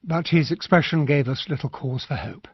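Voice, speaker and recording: Keen army captain in a radio play, husky, dry muffled sound. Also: male voice, on the high side, normal speed, no room sound. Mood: reflective. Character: earnest English army captain, narrating detective's friend. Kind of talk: radio drama acting and first-person narration.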